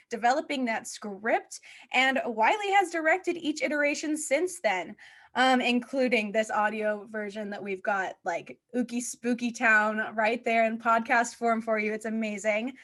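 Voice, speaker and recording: A woman's voice: a little high, normal speed, fairly bright tone. A woman hosting a podcast interview in a casual, chatty and informal way, with plenty of slangy filler. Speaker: podcast host and children's theater director.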